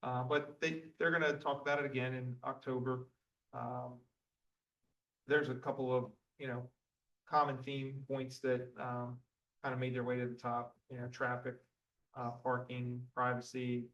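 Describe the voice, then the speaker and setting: A man giving the manager's report at a township board meeting in an even voice, with many ums and uhs. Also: medium pitch, normal speed, recorded from a distance, muffled recording. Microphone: table microphone.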